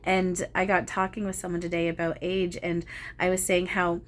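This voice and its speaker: A woman's voice: fairly low pitch, completely flat delivery, moderate pace, slight background noise. A woman in her thirties, a channeler talking casually and meanderingly about spiritual experiences, with many ums and restarts. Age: 30s.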